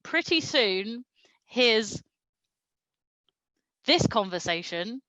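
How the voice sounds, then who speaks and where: A woman's voice: a little high, expressive, very slow, bright, forceful tone, no echo, lo-fi sound. A woman doing a comedic reading of fan fiction aloud in a mock-serious manner, prone to breaking into laughter. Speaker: reader at a comedy show.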